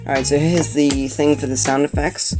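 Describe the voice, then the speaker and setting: A man's voice: on the high side, level tone, normal speed. Young guy from colombia, hesitant chatty toy unboxing, guitar music playing behind him. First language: not Spanish. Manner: chatty, hesitant, many fillers and restarts. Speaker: toy reviewer. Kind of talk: casual unboxing review. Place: indoor room at a table.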